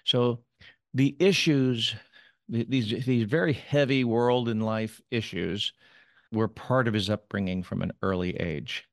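A man answering interview questions about his late father, speaking in a measured, thoughtful way with occasional pauses. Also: mid-range voice, dry recording, clean studio sound. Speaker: interview guest, son of the subject.

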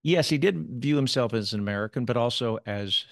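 A man answering interview questions about his late father, speaking in a measured, thoughtful way with occasional pauses. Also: medium pitch, no room sound, studio quality. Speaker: interview guest, son of the subject.

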